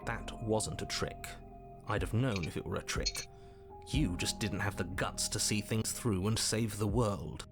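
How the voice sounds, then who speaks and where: An actor playing a grave, disapproving male narrator of a horror game, speaking deliberately and ominously in literary, dramatic prose. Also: fairly low pitch, music in the background. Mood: scornful.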